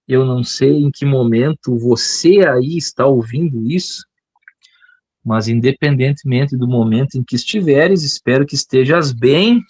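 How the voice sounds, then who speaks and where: A playful southern Brazilian man co-hosting a podcast, joking as he talks. He speaks at an unhurried, drawn-out pace. The sound is dry and close, with no room to it. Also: fairly high pitch, darkish tone, no echo. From Brazil, Rio Grande do Sul. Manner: playful, joking, chuckles often. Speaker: podcast co-host. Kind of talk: casual podcast chat.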